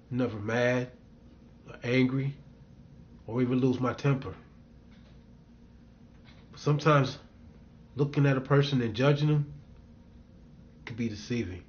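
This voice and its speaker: A male actor playing a bitter, troubled middle-aged man with hidden darkness, in a brooding dramatic manner with deliberate pauses. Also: mid-range voice, muffled recording, a little hiss or hum, close to an everyday voice. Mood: menacing, reflective. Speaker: actor performing a monologue and scene reel. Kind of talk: dramatic screen acting, monologue and scene.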